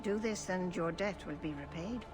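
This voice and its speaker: A woman voicing a wise, motherly elven clan keeper in a fantasy game, speaking in gentle, measured, cryptic pronouncements. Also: lowish voice, music in the background, close to an everyday voice. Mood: grave.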